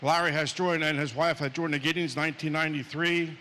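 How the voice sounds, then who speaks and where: A somewhat high, bright-voiced man with a Pennsylvania accent introduces a guest in a church. His talk is rambling and conversational, and it rings out with long reverb and a little hiss. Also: fairly even intonation, quick pace. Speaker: local ministry member introducing guest. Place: church sanctuary.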